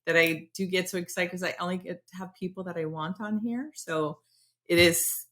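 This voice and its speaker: A woman hosting a podcast interview, talking in an excitable, rambling, self-deprecating way. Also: on the low side, moderate pace. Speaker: podcast host. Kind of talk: casual interview chat.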